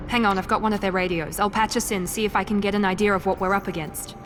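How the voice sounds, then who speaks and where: A woman plays a cool, self-assured female operative in a sci-fi game. Her delivery is crisp and guarded, in a medium pitch, with a long reverb and music behind it. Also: fairly even intonation, quick pace, natural voice. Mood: guarded, confiding. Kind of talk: video game voice acting.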